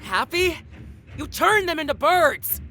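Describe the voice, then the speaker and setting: A woman's voice: high-pitched, sing-song, slow pace, bright, forceful tone, a little hiss or hum, full character voice. A woman playing a bitter, defiant eldest daughter in an audio drama, blunt, sardonic and combative. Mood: furious. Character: defiant, bitter firstborn daughter fighting for her siblings.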